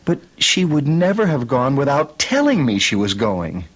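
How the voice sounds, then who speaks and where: An actor playing a distraught, anxious husband in an old-time radio drama, halting and stammering as he speaks. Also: mid-range voice, wildly swooping pitch. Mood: anguished, pleading. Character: distraught husband fearing his missing wife was killed. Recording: muffled old radio recording.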